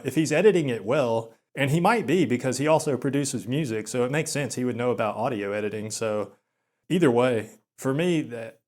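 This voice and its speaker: A young man with a Southern US accent in a gloomy, self-deprecating monologue, talking slowly and flatly with filler words and stammers. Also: medium pitch. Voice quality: slow, monotone delivery with filler words and stammers. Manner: gloomy, repetitive, resigned. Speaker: video essayist.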